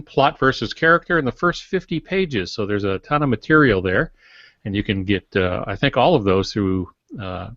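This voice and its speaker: Man, sixties, low voice, halting folksy podcast host, lightly joking, dry close sound, informal. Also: a bit bright, no room sound, dull audio. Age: 60s. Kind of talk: informal guest introduction.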